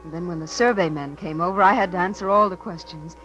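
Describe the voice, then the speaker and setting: An actress playing an earnest, devoted young small-town niece in a 1950s radio drama. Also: female voice, on the low side, quick pace, a bit bright, natural voice, low-quality recording. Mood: polite, flustered. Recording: hissy, humming 1950s radio recording.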